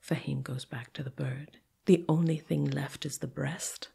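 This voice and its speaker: A woman storyteller narrating a folktale dramatically, with deliberate pauses and repetition that build suspense. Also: low voice, fairly even intonation, creaky, dark tone, slightly rough.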